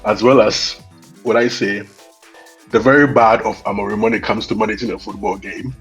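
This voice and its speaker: A man co-hosting a casual football chat, hoarse from nearly losing his voice, speaking emphatically and fervently. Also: fairly low pitch, steady tone, moderate pace, a bit bright, faint music behind. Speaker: co-host. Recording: dull lo-fi sound.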